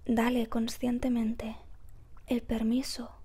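A woman guiding a meditation in a soft, breathy, whispery voice, calm and gentle, with measured phrases and long pauses. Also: fairly high pitch. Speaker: meditation guide. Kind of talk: slow guided meditation narration.

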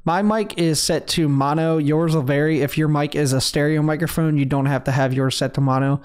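Chill guy talking through his stream audio setup, slightly high voice, lots of uhs and ums. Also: fairly quick, clear, resonant tone. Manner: relaxed, rambling explanation with fillers. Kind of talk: casual screen-recorded tutorial.

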